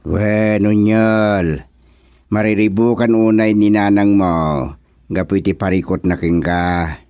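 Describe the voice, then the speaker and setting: A man's voice: lowish voice, fairly even intonation, takes it slowly, a little mellow, clean tone, dry recording, studio quality. Paternal Ilocano village chairman, radio drama. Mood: questioning, scolding. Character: male village chairman, paternal, advising troubled residents. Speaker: actor playing village chairman.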